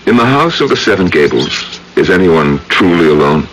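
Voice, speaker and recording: An actor playing a courteous, wry and mysterious lodger in an old-time radio drama. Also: male voice, low voice, sing-song, moderate pace, a bit bright, a little hiss or hum, low-quality recording. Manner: courteous, enigmatic.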